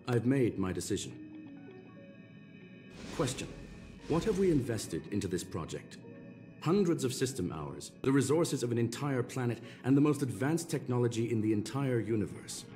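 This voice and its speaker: An actor playing a courteous, rational robot scholar in a video game, calm and regretful, with formal, measured, precise diction. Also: male voice, mid-range voice, lightly acted. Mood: firm, questioning.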